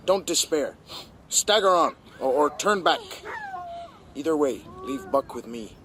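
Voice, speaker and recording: An actor playing a stern, seasoned, protective frontier prospector in a radio drama, giving plain-spoken, emphatic warnings. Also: male voice, a little high, expressive, a little slow, fairly bright tone, a little hiss or hum.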